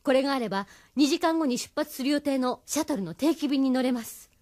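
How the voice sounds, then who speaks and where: An actress playing a sharp, cautious, determined young resistance agent in an anime audio drama. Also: female voice, sits high, lively intonation, brisk. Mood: firm, cautioning.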